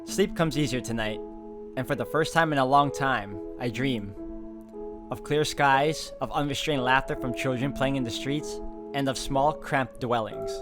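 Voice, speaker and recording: A man reading a visual novel aloud as dramatic, brooding first-person narration, phrasing it deliberately. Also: medium pitch. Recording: background guitar music over the voice.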